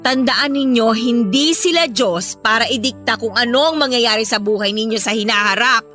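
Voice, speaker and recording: A woman's voice: sits high, bright, forceful tone. A woman playing a worried, protective mother who counsels her children earnestly in an emotional radio drama. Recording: music bed behind the voice.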